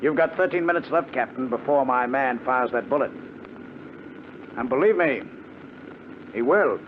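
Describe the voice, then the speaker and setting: A man's voice: mid-range voice, lively intonation, normal speed, lightly acted. A man playing a ruthless, coolly threatening gang leader in a 1950s radio drama, with a clipped, commanding way of talking. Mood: cold menace. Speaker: radio actor. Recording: noisy muffled 1950s radio broadcast.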